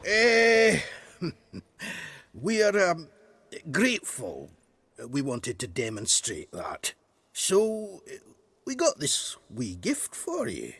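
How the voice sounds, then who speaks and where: Hesitant commoner, wildly swooping pitch. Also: male voice, wildly swooping pitch, a bit bright, slightly rough, exaggerated voice. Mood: sheepish, grateful. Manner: hesitant, halting. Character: awkward, grateful male commoner handing over a gift. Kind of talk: dramatic video game dialogue.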